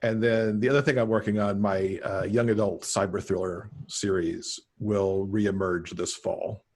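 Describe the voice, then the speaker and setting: A man's voice: on the low side, steady tone, a little slow. A middle-aged man chatting casually about his work as a guest author on a video call. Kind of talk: casual video interview chat. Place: indoor room, video call.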